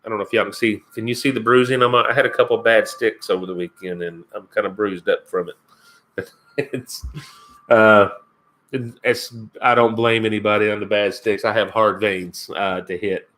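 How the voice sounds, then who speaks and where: A man's voice: fairly low pitch, steady tone, moderate pace, a bit bright, poor audio. A man from Kentucky with a Kentucky accent, hosting his first live stream and chatting casually with viewers, rambling and chuckling as he answers comments. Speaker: outdoors channel host.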